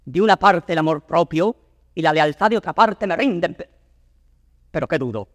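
A man's voice: fairly high pitch, lively intonation, moderate pace, a bit bright, studio quality. Anguished old nobleman, declaiming in reverb. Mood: torn, grieving. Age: older. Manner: grave, declamatory, exclamatory. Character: anguished old nobleman torn between honour and fatherly love. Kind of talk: classical Spanish verse drama, declaimed.

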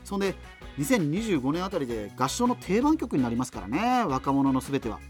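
A man's voice: a little high, very animated, fairly quick. An actor playing a rambling, opinionated, half-joking male host of a fictional subculture radio show. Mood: rambling, musing. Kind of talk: radio drama as late-night talk show. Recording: music behind the voice. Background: music.

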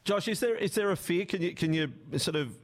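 A man's voice: high voice, a little fast. Emphatic male sports radio host. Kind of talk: broadcast sports interview.

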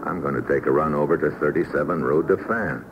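Very deep-voiced hardboiled radio detective. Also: male voice, fairly quick. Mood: brisk, serious. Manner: terse, slangy, deadpan. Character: hardboiled male investigator narrating his case, cool and wry. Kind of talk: old-time radio noir drama acting and narration. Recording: muffled, noisy vintage radio recording.

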